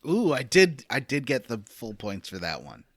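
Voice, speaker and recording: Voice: mid-range voice, huge pitch swings, normal speed, dry recording, clean studio sound. A podcast co-host chatting casually about video games in an informal, slightly hesitant way that can trail off. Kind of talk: casual gaming podcast chat.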